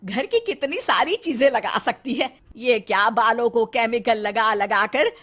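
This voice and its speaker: A woman's voice: a little high, expressive, a little slow, fairly bright tone. A woman voicing a thrifty, practical middle-aged mother-in-law in a family moral story, with a homely, firm, advising manner.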